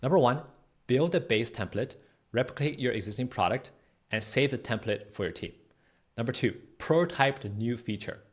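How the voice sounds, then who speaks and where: A man hosts a how-to tutorial with a medium-pitched voice. He talks conversationally as he explains each step on screen. He often tags his points with a quick check-in to the listener. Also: lively intonation. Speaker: tutorial host. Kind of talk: casual screen-share software tutorial.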